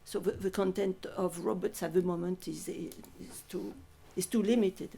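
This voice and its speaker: Female panelist, creaky and warm-toned, hesitant delivery. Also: lowish voice, fairly even intonation. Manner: halting, repeats words. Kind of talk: panel discussion answer.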